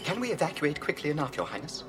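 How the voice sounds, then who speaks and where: A man's voice: fairly high pitch, sing-song, fast talker, over music. A man playing an anxious, deferential protocol robot in a radio drama, with a prim, formal, fretful manner. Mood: worried.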